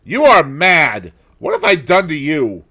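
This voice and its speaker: A man voicing a terrified gentleman in an audiobook, gasping and crying out as he pleads for his life. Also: on the high side, sing-song, measured pace, voice pushed up, exaggerated voice.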